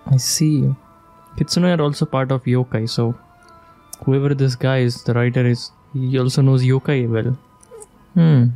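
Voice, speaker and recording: A woman's voice: very deep voice, fairly even intonation, unhurried, dark tone, poor audio. A woman doing casual playthrough commentary on a video game, reacting aloud in a teasing, playful way. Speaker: game streamer. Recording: faint game music behind the voice.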